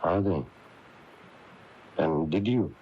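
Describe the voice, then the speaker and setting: An actor playing a firm, knowing male confidant in a radio mystery drama, questioning deliberately and pressing for answers. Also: deep voice, mellow, slight background noise. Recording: muffled 1980s AM radio tape, faint music.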